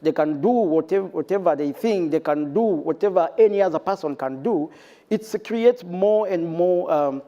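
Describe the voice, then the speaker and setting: Earnest man with a mellow, higher voice. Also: normal speed, dull audio. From Uganda. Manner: earnest, many fillers. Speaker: panelist, refugee organization director. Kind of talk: panel discussion. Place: conference stage.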